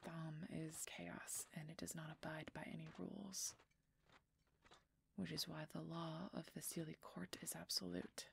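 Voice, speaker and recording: Woman as a brooding low-voiced female werewolf knight. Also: little pitch movement, measured pace, vocal fry, a little hiss or hum, heavily acted. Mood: guarded, wry. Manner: terse, guarded, low murmuring, often whispery. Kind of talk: fantasy audio roleplay acting.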